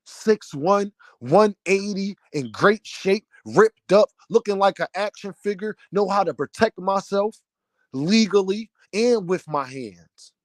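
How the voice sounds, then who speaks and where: Loud, heated man ranting with a deep voice. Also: animated, slow, no echo. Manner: loud, heated, preaching cadence. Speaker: vlogger, channel owner. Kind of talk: passionate rant to camera.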